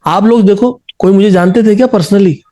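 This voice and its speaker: A man's voice: high-pitched, a little fast, dark tone. A man with an Indian accent speaking as an encouraging singing coach in a conversational interview, mixing in English phrases.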